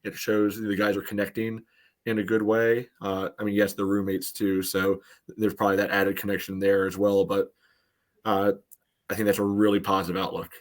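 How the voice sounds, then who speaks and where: A man with a fairly low voice breaks down soccer in a casual panel style. He explains carefully and loosely at once, with frequent "you know" fillers linking his phrases. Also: level tone, moderate pace. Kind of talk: casual match analysis.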